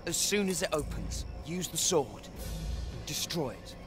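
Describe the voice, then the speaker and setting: A man's voice: on the high side, big pitch swings, unhurried, over music. A man voicing a resolute, protective teenage wizard hero with a British accent in a video game, earnest and urgent. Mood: solemn, urgent. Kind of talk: video game dialogue acting.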